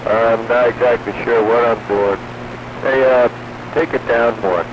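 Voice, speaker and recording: An American man of medium pitch chats over two-way radio. His voice comes through dull and lo-fi, with very loud noise all around it. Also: fairly even intonation, a little slow. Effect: two-way radio transmission. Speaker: radio operator. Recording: muffled, very noisy two-way radio.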